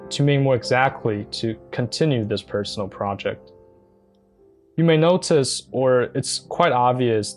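A young man from China with a Mandarin Chinese accent, a non-native speaker hosting his own podcast, talking earnestly and carefully with frequent pauses and fillers. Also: medium pitch. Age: young adult. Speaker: podcast host. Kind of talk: solo podcast monologue.

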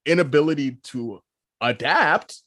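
A man's voice: fairly high pitch, expressive, a little slow, fairly bright tone, dry recording. Emphatic man on a podcast, dry sound. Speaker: podcast co-host. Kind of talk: casual opinionated podcast discussion.

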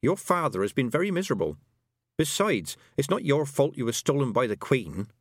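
A man voicing a sharp, practical young girl in a storybook, with a brisk, blunt manner. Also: medium pitch, sing-song, dry recording, studio quality, pitched above the performer's own voice. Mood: practical, probing.

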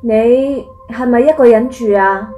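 A woman playing an eerie female neighbour in a radio ghost story. Her voice is high and very slow, drawing out each word as she calls through a wall. Faint music sits behind her. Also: warm tone, lo-fi sound, lightly acted. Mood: eerie, probing.

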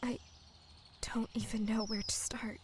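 An actress playing an earnest, pleading mermaid in human form in an audio drama, speaking softly and hesitantly, often near a whisper. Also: female voice, on the high side, flat delivery, a little slow, a little hiss or hum, close to an everyday voice. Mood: pleading, tender. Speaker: voice actor.

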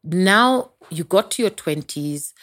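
A woman's voice: lowish voice, animated, slow, a bit bright. A woman with a Kenyan accent interviewing in a conversational way, engaged, interjecting and rephrasing what she hears. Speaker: podcast host.

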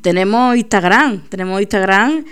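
Mujer locutora de radio parlanchina, voz nítida. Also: female voice, medium pitch, lively intonation, moderate pace, fairly bright tone, clean tone. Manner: chatty, laughing, self-deprecating. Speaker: radio host. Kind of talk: casual local radio chat.

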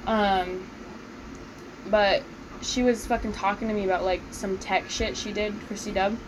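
A woman's voice: mid-range voice, steady tone, a little slow, background noise. A young woman co-hosting a casual podcast with friends, telling stories with constant swearing and laughter. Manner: heavy swearing, laughing storyteller. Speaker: podcast co-host. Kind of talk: casual chat among friends.

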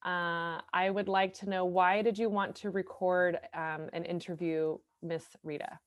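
A woman's voice: on the low side, little pitch movement, a little slow. Encouraging woman podcast host. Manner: upbeat, encouraging. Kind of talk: podcast interview.

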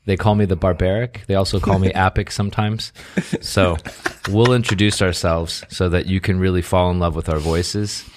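A man's voice: low voice, steady tone, moderate pace, hardly any pauses, noisy background. A man co-hosting a podcast, speaking in a dry, teasing, joking way. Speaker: podcast co-host. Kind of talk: casual podcast chat.

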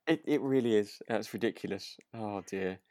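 A man's voice: on the low side, normal speed, dark tone, dry recording. A man co-hosting a casual podcast about a radio drama, talking in a hesitant, rambling way. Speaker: podcast co-host.

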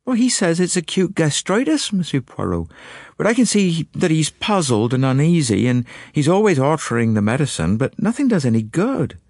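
A man's voice: a little high, big pitch swings, normal speed, darkish tone, dry recording, studio quality, voice pushed up. A man voicing a fretful middle-aged lady. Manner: breathless, complaining, prim. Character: fretful middle-aged woman, anxious and indignant. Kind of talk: audiobook character voice.

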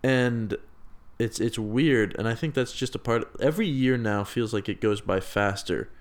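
A young man of twenty talks in a relaxed, earnest way as a podcast co-host. His voice sits in an ordinary middle pitch. He pushes louder when he drives a point home. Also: moderate pace. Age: 20s. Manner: earnest, rising loud when making a point. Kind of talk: casual chat.

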